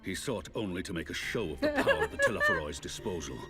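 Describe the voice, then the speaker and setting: An actor playing a stern war leader with a formal, forceful delivery in a fantasy game. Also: male voice, lowish voice, huge pitch swings, fast talker, over loud music.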